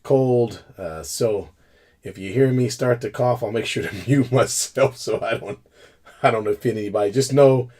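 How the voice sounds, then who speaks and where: A man with a raspy voice from a cold, co-hosting a casual sports talk show. He chats loosely, laughs often and trips over his words. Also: medium pitch, normal speed, dark tone. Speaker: co-host. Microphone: video call.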